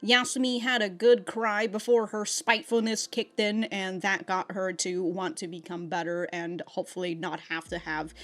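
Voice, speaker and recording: A woman giving casual, slangy reaction commentary as the host of a video, in an animated, informal way. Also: mid-range voice, moderate pace, hardly any pauses.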